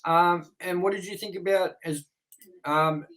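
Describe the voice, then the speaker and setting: A man with a fairly high speaking voice co-hosts a casual movie review. He rattles off box office numbers and then puts loose, chatty questions to his co-host, full of ums and restarts. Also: fairly even intonation, measured pace, a bit bright. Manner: reads figures, then prompts partner with questions.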